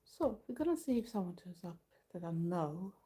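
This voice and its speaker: Relaxed Swedish-accented woman streamer, mellow. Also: fairly low pitch. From Sweden. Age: older. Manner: relaxed, chatty, pauses often to read the chat. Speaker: live streamer. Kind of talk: casual solo live stream chat.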